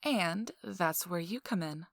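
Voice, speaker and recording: Woman voicing a rich young socialite, unhurried, gravelly fry, teasing, sarcastic, intimate ASMR roleplay. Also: lowish voice, no echo. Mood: wry, confiding. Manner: slow, teasing, dry sarcasm, drifting into whispers. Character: feisty young rich socialite, sly, teasing, self-assured.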